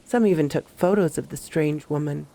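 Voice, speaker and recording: A woman playing a troubled female park ranger who recounts her horror story in a measured, confiding first-person narration. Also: low-pitched, dark tone. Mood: wary, recounting.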